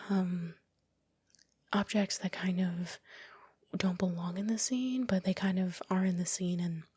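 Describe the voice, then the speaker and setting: A woman whispers a casual, rambling, self-critical ASMR commentary on her own work in a breathy, unvoiced voice, close to the microphone. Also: fairly low pitch, darkish tone. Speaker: ASMR video creator narrating own work. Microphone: close microphone, dry voice-over.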